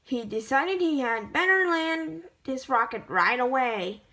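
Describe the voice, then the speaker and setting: A woman reading a children's picture book aloud in a halting way, stumbling over words as she goes. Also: sits high, lively intonation, a bit bright, a little hiss or hum. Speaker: amateur story reader.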